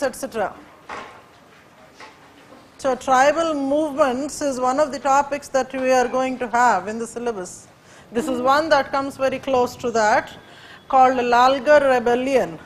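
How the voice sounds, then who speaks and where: A woman's voice: sits high, slight background noise, poor audio. Indian woman lecturing in a classroom. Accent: Indian English. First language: Urdu. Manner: hesitant, many fillers and restarts. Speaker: teacher.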